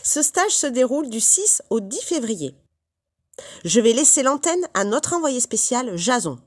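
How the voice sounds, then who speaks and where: A woman's voice: fairly high pitch, very animated, unhurried. A woman speaking as a news anchor, presenting scripted headlines and handing over to a reporter.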